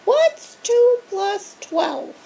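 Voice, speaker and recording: A woman's voice: sing-song, very slow, gravelly, warm tone, exaggerated voice. A woman voicing a fussy, strict female teacher who has turned into a hairy snow monster, reading from a storybook. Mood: shrill quizzing. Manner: shrill, fussy, quizzing.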